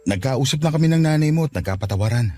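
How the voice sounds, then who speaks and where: A man's voice: mid-range voice, lively intonation, fast talker, darkish tone, no room sound, faint music behind, close to an everyday voice. A man playing a repentant estranged father in a radio drama, pleading and emotive. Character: repentant estranged father begging to rebuild his family. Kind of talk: Tagalog radio drama acting.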